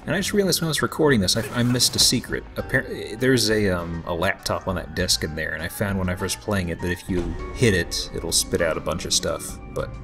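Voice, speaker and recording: A man giving casual, joking let's play commentary in a rambling, halting way. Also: sits low, lively intonation. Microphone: voice-over microphone over game audio. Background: game music.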